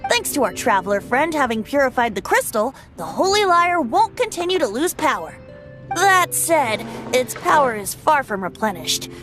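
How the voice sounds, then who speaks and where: A woman's voice: high-pitched, big pitch swings, moderate pace, fairly bright tone, faint music behind. A woman voicing a playful, whimsical young bard boy in a video game, with a lilting theatrical delivery. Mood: concerned.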